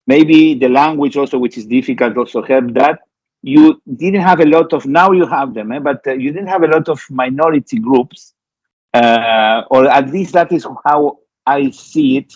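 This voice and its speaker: Argentine-born male co-host, thoughtful questions, flat dry muffled call sound. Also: a little high, no room sound. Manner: thoughtful, asks with tag "eh?". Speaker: podcast co-host. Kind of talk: interview questions. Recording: dry, dull lo-fi call audio.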